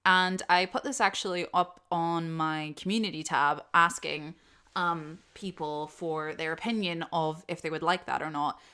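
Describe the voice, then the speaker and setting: A woman's voice: deep voice, steady tone, unhurried, pushed and bright, clear, resonant tone. A woman who creates audio dramas, talking casually and unscripted as she answers subscriber questions in a rambling, self-deprecating way.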